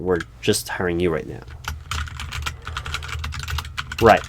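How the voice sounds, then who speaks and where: A man playing a patient but flustered, unobservant recruiting manager in a one-sided ASMR interview roleplay, speaking aloud in a halting, puzzled way. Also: fairly low pitch. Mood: bemused, hesitant. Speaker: job interviewer. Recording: faint noise, computer keyboard typing clicks. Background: keyboard typing and clicks.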